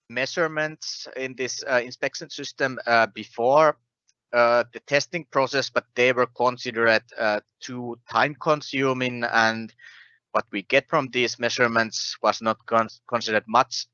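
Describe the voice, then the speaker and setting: A man giving a technical presentation in a halting, hesitant way over an online call. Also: mid-range voice, pushed and bright, no echo. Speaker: conference presenter. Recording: muffled online webinar audio.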